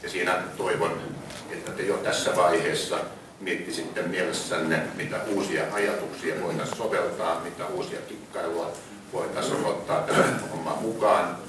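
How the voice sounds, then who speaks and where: A deep-voiced man opens a meeting informally. He sounds far from the mic in a very reverberant room. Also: steady tone. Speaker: meeting chair. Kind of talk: informal opening address. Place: indoor meeting room, camera in the audience. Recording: echoey meeting room, distant mic, noisy.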